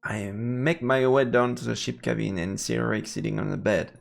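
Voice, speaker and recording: A man's voice: mid-range voice, fairly even intonation, normal speed, gravelly. A man streaming a let's play, reading game text aloud and dropping in playful murmured interjections. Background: game music.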